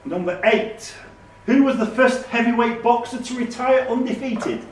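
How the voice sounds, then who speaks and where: A man's voice: very high voice, normal speed. A man acting as quizmaster, reading out questions and ruling on answers at a live quiz. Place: echoey indoor room at a live quiz event. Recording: distant mic in echoey room, background noise.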